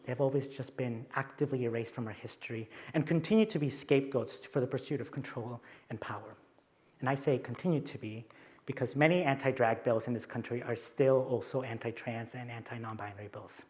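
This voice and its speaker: A man's voice: mid-range voice, a little fast, darkish tone. A young adult man from Mexico with a Mexican accent, giving a conference talk with slides and playful, self-deprecating asides. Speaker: conference presenter.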